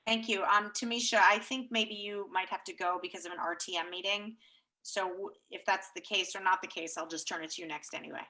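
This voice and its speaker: A woman chairing a public online meeting over a video call, speaking in a halting, measured way. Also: mid-range voice, fairly even intonation, pushed and bright, rough recording.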